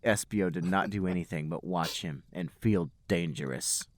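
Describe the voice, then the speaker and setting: A man's voice: sits low, moderate pace, dry recording. A man, a podcast host, reading fan fiction aloud as a storybook-like narration.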